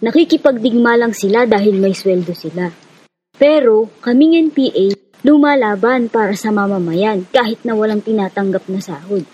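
A woman with a Filipino accent playing a kindly, determined guerrilla comrade with a slightly husky voice in a radio drama. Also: medium pitch, moderate pace, warm tone, highs cut off, natural voice. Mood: resolute.

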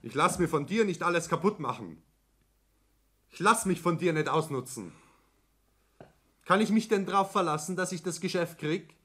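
Defiant, animated young son. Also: male voice, a little high, moderate pace, pauses often. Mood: bitter, accusing. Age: young adult. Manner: stubborn, accusing. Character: defiant, resentful young son, heir to family business. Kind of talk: German radio play acting.

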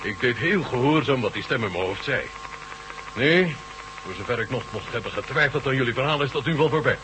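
An actor playing a Russian marshal in a radio drama, authoritative and plain-spoken. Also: male voice, medium pitch, animated, brisk, natural voice. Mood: sober. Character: Russian marshal in command of a space base. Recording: humming noisy old radio recording.

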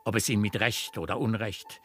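A man's voice: on the low side, fairly even intonation, moderate pace, a bit bright, slight background noise, lightly acted. An actor playing an effusive, opinionated country baron in his fifties in a German radio play, courtly and blustering. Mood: indignant, prejudiced. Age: 50s.

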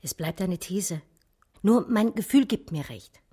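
A woman's voice: medium pitch, lively intonation, measured pace, slightly rough, dry recording, studio quality. An actress playing a pensive, grieving female art historian in an intimate, reflective radio play monologue. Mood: thoughtful. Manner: reflective, lyrical, hushed. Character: Natascha, pensive art historian grieving her lost lover.

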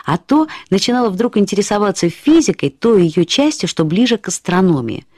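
Актриса играет рассказчицу, которая с иронией и задумчивостью вспоминает взбалмошную подругу, живо и разговорно, как в литературном радиоспектакле. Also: female voice, mid-range voice, fairly quick, no room sound, lightly acted. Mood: wry, musing. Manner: expressive, wry, conversational storytelling. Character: reflective woman recalling her flighty, dramatic female friend. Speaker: actress reading a short story as its narrator. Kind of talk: dramatized literary narration. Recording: studio radio play with faint music.

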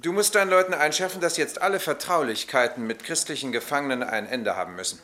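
An actor in a radio drama playing a stern Roman army captain who makes formal, clipped, coolly ironic pronouncements. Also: male voice, fairly high pitch, quick pace, pushed and bright. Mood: commanding.

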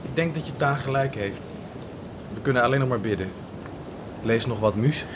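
A man's voice: mid-range voice, moderate pace, lightly acted. An actor playing a worried, resigned male villager in a radio drama, grave and fatalistic. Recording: noisy storm background.

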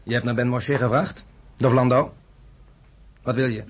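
An actor playing a wary, brisk underground fighter in a radio drama, giving orders and questions in a curt, commanding way. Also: male voice, mid-range voice, fairly even intonation, lightly acted, poor audio. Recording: faint background noise.

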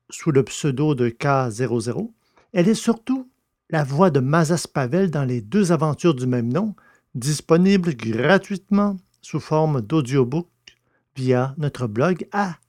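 A man's voice: fairly high pitch, animated, measured pace, darkish tone. A man hosting a podcast and reading scripted audiobook narration in French.

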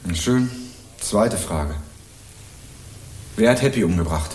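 An actor playing a cocky young student sleuth in a radio crime drama, wry, probing and accusatory. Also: male voice, lowish voice, lively intonation, takes it slowly, a little mellow, slight background noise. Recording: roomy echo, music underneath.

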